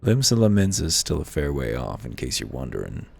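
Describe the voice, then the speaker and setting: A man's voice: extremely low pitch, very animated, a little fast, creaky, a little mellow, slightly rough, heavily acted. A man voicing a chatty, friendly travelling peddler from a fantasy game, worldly-wise and speaking in folksy dialect, with music behind him. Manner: folksy dialect, colloquial phrasing. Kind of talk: voiced reading of fantasy game dialogue.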